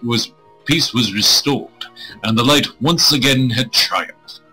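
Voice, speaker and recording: A man streaming a visual novel, reading it aloud with crude, irreverent joking asides, in a husky voice. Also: medium pitch, slow, sharp tone, poor audio. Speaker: game streamer. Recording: music playing behind the voice.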